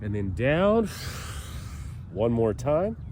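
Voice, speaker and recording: A man leading a stretching routine as fitness instructor, cueing calmly and chatting in between, with a slightly stuffy nose, outdoors. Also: mid-range voice, slow pace, slight background noise. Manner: calm, chatty cueing with breathy sighs.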